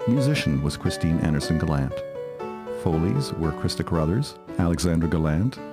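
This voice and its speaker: A man's voice: low-pitched, expressive, normal speed, loud music behind the voice. A man reading scripted credits as an announcer.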